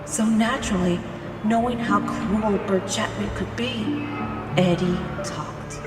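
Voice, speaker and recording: A woman's voice: mid-range voice, steady tone, slow. A woman acting as hostess and narrator of a scripted story, telling it in a dramatic storytelling style. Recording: long reverb, music behind.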